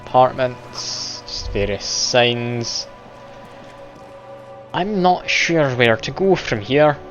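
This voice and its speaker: A man thinking out loud while playing a game on a live stream, chatty and self-deprecating with frequent laughs. The audio is slightly dull, and faint game music sits behind him. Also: mid-range voice, expressive, drawn-out words. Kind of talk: casual live game commentary.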